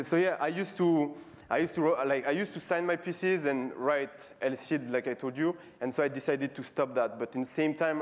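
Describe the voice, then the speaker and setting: Casting note: man, 30s, French accent, relaxed stage talk full of fillers and restarts. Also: mid-range voice. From France. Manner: halting, many fillers and restarts; casual, friendly, repeating words. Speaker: street artist giving a talk. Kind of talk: conference talk. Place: stage before a live audience.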